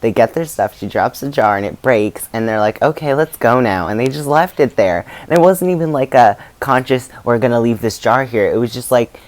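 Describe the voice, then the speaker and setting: A man talking in a chatty, informal and halting way, giving casual opinions as a book vlogger. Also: mid-range voice.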